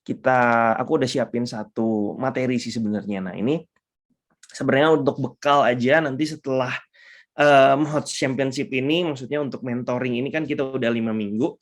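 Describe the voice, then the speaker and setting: Male mentor, hoarse and stuffy-nosed, upbeat online lecturer, mid-pitched, video-call mic. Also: steady tone, normal speed. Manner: upbeat, mixes English terms, frequent fillers. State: hoarse and congested. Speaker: trading mentor.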